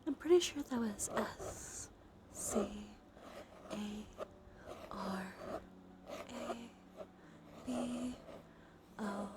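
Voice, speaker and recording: A sniffly woman with a sore throat chatting softly on a cozy ASMR livestream, often dropping into whispers. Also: a little high, extremely slow, long pauses, background noise.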